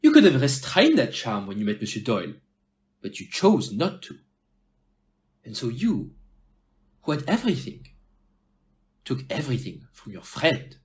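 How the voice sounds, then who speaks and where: A man performs a shrewd, courteous detective in an audio drama. His speech is formal and pointed, with a lively, swinging melody. The recording is a little dull and muffled. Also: mid-range voice. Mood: pointed, reproving. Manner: formal, measured, pointed phrasing. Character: polite, shrewd, blunt male Belgian detective. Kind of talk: amateur audio play acting.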